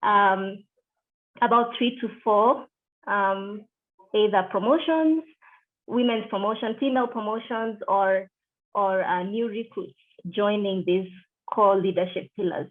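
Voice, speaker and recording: A middle-aged woman from Kenya, interviewed over a video call, speaking in a measured way with frequent fillers and restarts. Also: medium pitch. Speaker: interviewee, deputy country director. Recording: tinny call audio.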